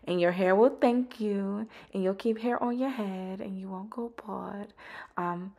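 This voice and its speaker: A young woman with a raspy voice, chatting casually through a hair tutorial at home, with lots of ums. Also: medium pitch, measured pace. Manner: relaxed, chatty, peppered with ums. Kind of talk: casual how-to narration. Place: home bathroom, indoors.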